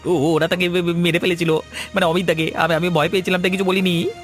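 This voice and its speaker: A man's voice: high-pitched, flat delivery, fast, a bit bright, soft background music. Man playing a stammering, frightened meat seller. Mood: frightened confession. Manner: stammering, repeats words nervously. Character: frightened guilty young male village meat seller, cornered and pleading. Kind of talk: Bengali cartoon dialogue.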